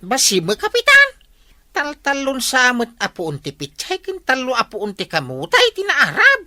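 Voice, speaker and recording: A woman acting an animated, complaining villager in a comedy radio drama. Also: sits high, moderate pace, dry recording, exaggerated voice. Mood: agitated.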